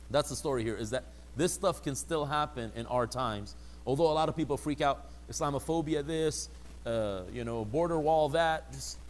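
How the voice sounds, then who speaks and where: Male lecturer, slightly high voice, casual teaching tone, faint hum. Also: rough recording. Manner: conversational, acts out quoted people in higher mocking voices. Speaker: religious teacher.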